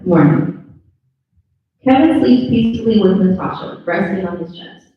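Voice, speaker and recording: A woman narrates a script, reading out stage directions at a live table read. Her voice is medium in pitch and sounds distant and slightly dull, with heavy room echo. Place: live in-person event room. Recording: echoey room, distant muffled mic.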